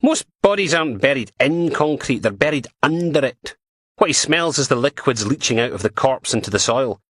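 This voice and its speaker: A man voicing a loud, crude female dog handler. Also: medium pitch, animated, normal speed, slightly rough, dry recording, clean studio sound, voice pushed up. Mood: blunt, scoffing. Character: blunt foul-mouthed female police dog handler.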